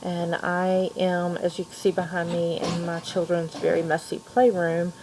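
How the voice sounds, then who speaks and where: Soft-spoken thirty-year-old woman, faint Southern lilt. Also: fairly low pitch, completely flat delivery, measured pace, background noise. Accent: mixed Kentucky and Georgia Southern. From Louisville, Kentucky. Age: 30s. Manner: soft-spoken, frequent ums. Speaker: student vlogger. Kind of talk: personal introduction video. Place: children's playroom at home, late at night.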